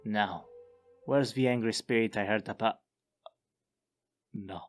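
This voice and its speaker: Man playing low-voiced, fussy spirit hunter. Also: takes it slowly, soft background music. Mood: exasperated.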